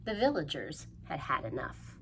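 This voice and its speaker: A woman presenting a children's storytime, reading aloud in a sing-song, questioning way with playful pauses and hushed asides. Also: sits low, big pitch swings, poor audio. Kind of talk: animated read-aloud for young children. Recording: faint background noise.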